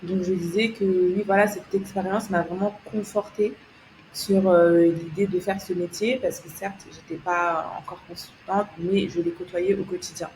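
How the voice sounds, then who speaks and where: A woman's voice: lowish voice, fairly even intonation, moderate pace, a little mellow, slight background noise, low-quality recording. Hesitant young woman from France. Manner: hesitant, many fillers and restarts; laughs easily. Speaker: guest, tech founder and consultant. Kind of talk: casual interview storytelling.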